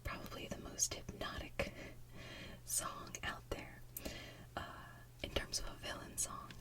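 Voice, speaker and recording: A woman hosting ASMR, chatting casually in a hushed, breathy whisper with an unhurried, rambling manner. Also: recorded from a distance. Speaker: ASMR channel host.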